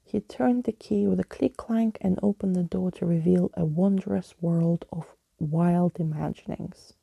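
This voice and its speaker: A woman reading a bedtime story aloud in a soft, hushed ASMR style. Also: lowish voice, unhurried, vocal fry, slightly rough, no room sound.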